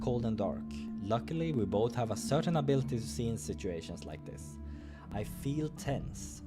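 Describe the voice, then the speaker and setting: A man reading a visual novel's story aloud as narrator, with offhand asides of his own. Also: medium pitch. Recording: music behind the voice.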